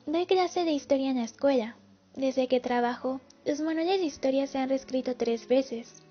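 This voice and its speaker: A woman's voice: high voice, normal speed. A woman playing a haunted older war veteran giving confessional, pleading testimony in a radio play. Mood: urgent appeal. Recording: muffled lo-fi recording with music.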